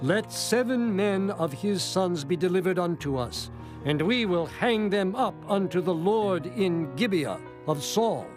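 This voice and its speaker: A man's voice: high voice, lively intonation, unhurried. A man playing an aggrieved Gibeonite demanding vengeance, solemn and declamatory, in a dramatized scripture reading. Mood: grave, vengeful. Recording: music behind the voice.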